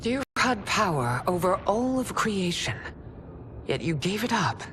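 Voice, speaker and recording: An actress playing a proud, suspicious empress in a video game, with a formal, imperious delivery. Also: female voice, mid-range voice, very animated, measured pace, background noise. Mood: incredulous. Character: proud, suspicious regal empress, stern and commanding. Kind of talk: dramatic video game cutscene acting.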